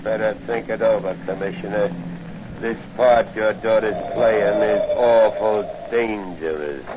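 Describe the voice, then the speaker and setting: Menacing crook's henchman male actor, slangy and low, old radio crime show with heavy noise. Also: unhurried, natural voice. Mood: coolly menacing. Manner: slangy, threatening. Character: menacing low-voiced gangster henchman, coolly threatening. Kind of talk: 1940s radio acting. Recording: muffled, very noisy old radio recording.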